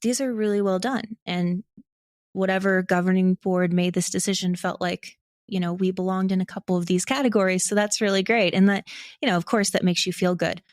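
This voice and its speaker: A woman's voice: medium pitch, fairly even intonation, fairly quick, dry recording, clean studio sound. A woman talking casually and reflectively as an interview guest, candid and self-deprecating as she thinks aloud. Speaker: podcast guest, audio drama creator and actor.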